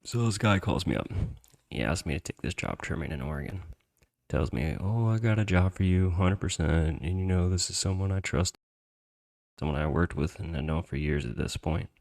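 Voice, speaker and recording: A man's voice: sits low, normal speed, darkish tone. A man hosting a podcast, telling a personal story in a casual, slangy, conversational way with some swearing.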